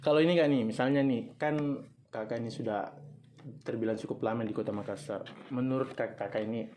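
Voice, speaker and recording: A man's voice: medium pitch, brisk, darkish tone, slight background noise. A young man hosting a student campus radio talk show, chatty, prompting his guests and reading listener questions aloud. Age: young adult.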